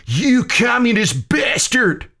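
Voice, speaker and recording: A man's voice: medium pitch, unhurried, voice pushed up, close to an everyday voice. A man voicing a hot-tempered American army captain, a defiant action hero in an audiobook, with a clenched, heroic delivery. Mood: furious.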